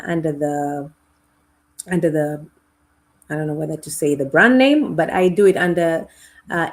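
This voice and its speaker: Middle-aged Kenyan-accented woman, lowish voice, unhurried thoughtful guest on a video call. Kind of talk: conversational interview.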